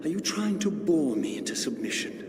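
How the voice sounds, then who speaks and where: A proud, defiant undead male wraith from a gothic video game, performed by a man. His scorn is eloquent and dramatic, with animated intonation and a long echoing tail on the voice. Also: fairly high pitch, normal speed, noisy background, close to an everyday voice.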